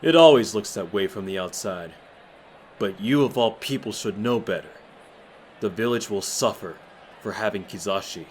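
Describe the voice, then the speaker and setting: A man playing a low-voiced, bitter, proud warrior. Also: normal speed, a little hiss or hum, natural voice. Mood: somber. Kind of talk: fan-made anime audio drama acting. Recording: dramatic music behind the voice.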